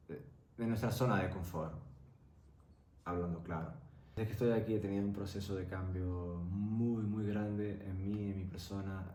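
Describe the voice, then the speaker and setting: A young man telling a candid personal story in a reflective, halting way. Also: sits low, warm tone. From Venezuela-born, grew up in Tenerife, Spain. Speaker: vlogger. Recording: roomy echo, faint background noise.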